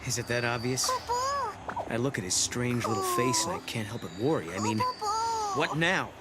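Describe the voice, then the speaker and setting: A man's voice: medium pitch, very animated, normal speed, heavy background noise, natural voice. An actor playing a plain-spoken young male adventurer in a video game, talking in a casual, laid-back way. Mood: awkward, skeptical. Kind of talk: video game English dub acting. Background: music.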